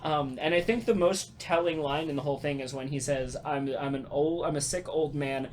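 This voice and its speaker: Man, slightly high voice, casual podcast storytelling, halting and animated, casual swearing, faint room noise. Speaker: podcast host and voice actor.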